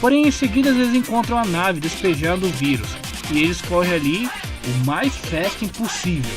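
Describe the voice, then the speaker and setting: Male narrator, Brazilian accent, high register, scripted story recap, delivered over a prominent music bed. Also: lively intonation, moderate pace. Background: clear background music.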